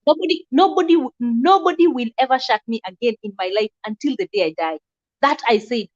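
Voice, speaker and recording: Blunt high-voiced woman, dull recording. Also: lively intonation, a little fast. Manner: blunt, outspoken, emphatic. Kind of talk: casual discussion.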